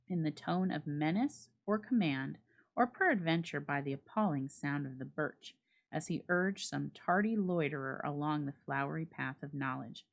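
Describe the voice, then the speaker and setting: A woman narrating a classic short story as a dramatic literary reading, in a measured, expressive storytelling manner. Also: low voice, creaky.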